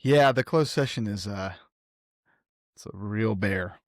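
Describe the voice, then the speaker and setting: A man's voice: fairly low pitch, measured pace, no room sound, clean studio sound. A man chatting casually as a player in a tabletop game, self-deprecating and prone to swearing.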